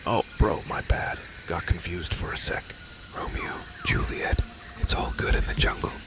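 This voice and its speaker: A man's voice: deep voice, wildly swooping pitch, normal speed, full character voice. A man voicing Chad, a cocky young male gym bro with slangy, boastful talk and a whispery voice.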